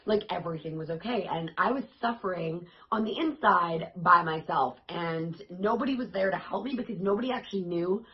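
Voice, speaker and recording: A woman with a raspy, worn-out voice that sits a bit low, chatting to her viewers as an online fitness coach. She stays upbeat and encouraging. The sound is a little dull and lo-fi. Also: fairly quick, few pauses. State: hoarse, voice worn out. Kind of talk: casual vlog talk to camera.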